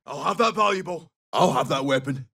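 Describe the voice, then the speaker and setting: An actor playing a tough, loyal Scottish special forces soldier in a video game, giving short, clipped callouts in a gravelly voice. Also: male voice, fairly high pitch, lively intonation, bright, forceful tone, distant mic, exaggerated voice. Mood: brisk, businesslike. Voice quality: husky, gravelly. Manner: clipped, brisk, urgent.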